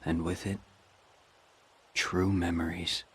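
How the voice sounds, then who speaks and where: A man playing an ominous, mysterious figure in a video game, speaking in solemn, measured riddles. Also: low voice, little pitch movement, fairly bright tone, slightly rough, heavily acted. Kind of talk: animated game voice acting.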